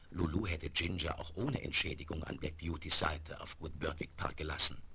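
A man's voice: very deep voice, gravelly, sharp tone, exaggerated voice. A man playing the composed narrator of a children's tale, reading scripted storybook narration. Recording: noisy vinyl record transfer with clicks.

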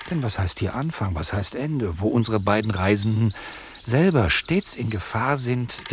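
A man playing a wry, patient storyteller in a radio play, addressing the listener in measured literary narration. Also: on the low side, noisy background, close to an everyday voice.

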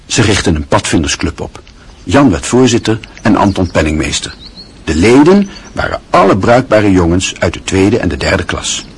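Low, husky male radio narrator. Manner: measured, literary storytelling. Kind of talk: scripted radio play narration.

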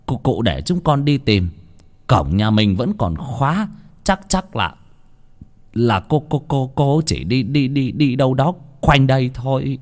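A male narrator acts out a young servant lad in a village story. He is nervous and deferential, stuttering hard over repeated words, with pitch jumping up and down. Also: expressive, a little slow, a little mellow, lightly acted.

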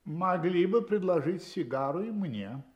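Confident gentleman detective, fairly high voice, lively intonation, wry, radio drama. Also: lo-fi sound. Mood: amused, pleased. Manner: brisk, amused, confident storytelling. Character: self-assured, wry English gentleman detective. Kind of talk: radio play acting.

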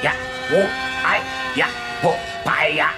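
A man playing a servile, dim-witted tin-box computer in a radio play, halting and stammering. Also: on the high side, animated, roomy sound, over loud music. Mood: struggling, confused. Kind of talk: German sci-fi radio drama acting.

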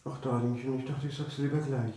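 An actor playing a charming, broke young husband in a German radio drama, breezy, cajoling and evasive. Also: male voice, medium pitch, fairly even intonation, a little fast, warm tone, close to an everyday voice. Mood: sheepish, breezy. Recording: echoey room, distant mic, faint noise.

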